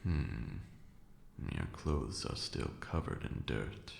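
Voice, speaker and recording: A man voicing a haughty but protective ancient vampire lord in a soft, teasing ASMR roleplay, with formal old-fashioned phrasing and a breathy murmur. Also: extremely low pitch, lively intonation, slow pace, reverberant, a little hiss or hum, full character voice. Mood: teasing, soothing.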